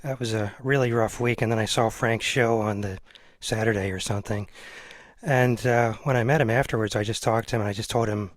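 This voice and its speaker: A man's voice: on the low side, steady tone, a little fast, no echo. A man hosting an interview show, chatting casually and earnestly. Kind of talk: casual conversation.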